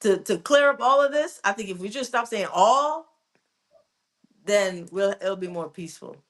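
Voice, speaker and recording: A Jamaican-born woman co-host in an easygoing talk segment. She speaks quickly and emphatically, pressing her points home in a mid-range voice. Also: medium pitch, animated, fairly bright tone. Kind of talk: casual radio talk.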